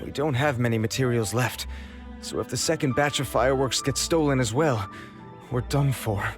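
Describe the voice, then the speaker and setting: Fretful male official for a game, acted by a man, mid-pitched, earnest and deferential, music behind him. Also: normal speed, close to an everyday voice. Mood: anxious. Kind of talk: video game cutscene acting.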